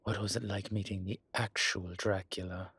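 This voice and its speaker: Male actor as brooding vampire lead for audio drama: husky, low, slow, proud and weary. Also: little pitch movement, fairly bright tone, no room sound, exaggerated voice. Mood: resolute, proud. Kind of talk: dramatic audio roleplay acting.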